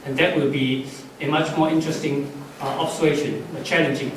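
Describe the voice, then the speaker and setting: A middle-aged man whose first language is Cantonese, giving halting, unscripted closing remarks at a conference, with many fillers and restarts. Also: fairly high pitch, little pitch movement. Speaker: conference speaker. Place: conference hall. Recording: echoey hall, far from the mic, faint noise.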